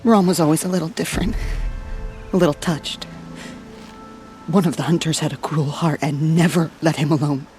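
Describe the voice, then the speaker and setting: A woman's voice: low-pitched, fairly even intonation, normal speed, darkish tone, close to an everyday voice. An actress playing an anxious, grieving tribeswoman in a video game, hesitant and earnest as she pleads. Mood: sorrowful. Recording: music under the voice.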